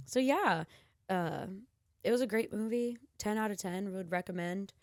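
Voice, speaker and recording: A woman's voice: medium pitch, moderate pace, darkish tone, dry recording. A woman chats as a podcast co-host in an informal, emphatic way. Her voice sits in a middle register, recorded dry with very little room sound. Her phrasing is slangy and conversational. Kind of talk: casual chat reviewing films and books.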